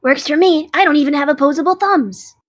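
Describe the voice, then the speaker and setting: A woman's voice: sits high, fairly quick, lightly acted. A woman voicing a friendly, easygoing dragon who is eager to play cards. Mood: casual. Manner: easygoing, eager card-game enthusiast. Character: friendly card-playing dragon, casual and eager. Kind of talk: fan audio drama acting.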